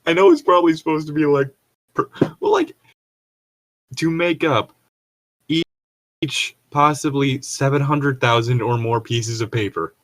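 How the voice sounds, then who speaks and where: A man co-hosting a podcast, reading aloud with casual, joking commentary in a rambling, nitpicking way as he thinks out loud. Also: mid-range voice, lively intonation, unhurried, long pauses. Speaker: podcast co-host.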